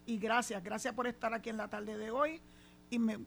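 A woman's voice: on the high side, steady tone, normal speed, creaky, fairly bright tone, slight background noise. A middle-aged woman with a Puerto Rican accent hosting a radio talk show, outspoken and emphatic. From Puerto Rico. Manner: outspoken, emphatic, laughs easily. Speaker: radio host. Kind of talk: casual on-air radio chat. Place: radio studio.

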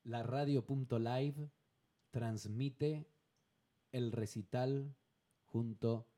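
A man's voice: medium pitch, fairly even intonation, extremely slow, warm tone. A man hosting a radio interview in a studio, asking questions in an upbeat, announcer-like way. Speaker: radio host. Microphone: studio microphone.

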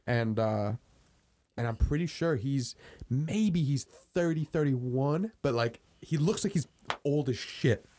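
A man's voice: medium pitch, lively intonation, slow, dark tone, slight background noise. A young man in his early twenties co-hosting a podcast, rambling casually with lots of fillers and swearing. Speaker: podcast co-host. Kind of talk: casual chat.

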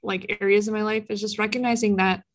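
A woman's voice: medium pitch, steady tone, fast talker. A woman in her thirties hosting a casual faith podcast, chatty, laughing often and saying "like" a lot. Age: 30s. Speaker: podcast host. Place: in a room with a co-host, in person.

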